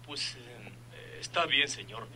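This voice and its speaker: An actor playing a worried, loyal and respectful young deputy in a radio serial. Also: male voice, medium pitch, takes it slowly, sharp tone, husky, dry recording, heavily acted, rough recording. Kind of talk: old-time radio serial acting.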